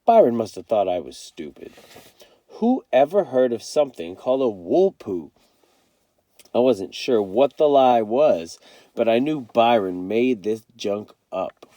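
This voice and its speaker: A man reading a first-person children's novel aloud as an expressive storyteller. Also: medium pitch, measured pace, dry recording.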